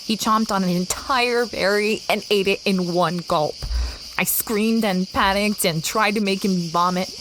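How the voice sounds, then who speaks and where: A woman's voice: mid-range voice, measured pace, background noise, natural voice. A woman playing an adventurous young explorer who tells her story expressively in a first-person audio drama. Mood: wry, reflective. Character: adventurous young woman explorer recounting a strange expedition, fretful over her dog. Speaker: narrator and lead character. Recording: clear background ambience of jungle insects.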